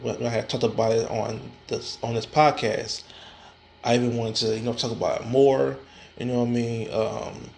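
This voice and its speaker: A man with a gravelly, mid-pitched voice talks straight to his listeners on his own podcast. He often stalls and repeats words as he goes. A faint hiss sits under the recording. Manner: frequent fillers and restarts, stammering repetitions. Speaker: podcast host. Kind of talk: casual solo podcast talk.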